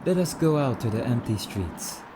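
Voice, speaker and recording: A man playing a suave, courtly immortal vampire in an ASMR roleplay, speaking in formal, theatrical, rambling musings with drawn-out phrases. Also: mid-range voice, expressive, background noise, natural voice. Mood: teasing, charming.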